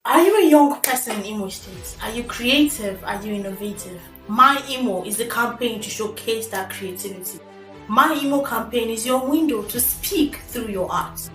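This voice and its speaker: Echoey, high-voiced Nigerian woman announcer. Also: fairly bright tone. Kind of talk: scripted radio campaign advert. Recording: roomy, distant voice over music. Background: music.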